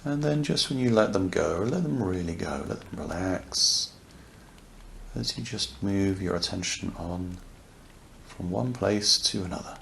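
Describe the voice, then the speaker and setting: A man leading a guided yoga nidra relaxation, speaking softly and soothingly, unhurried, with long pauses. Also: deep voice, gravelly. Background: crackling fireplace ambience.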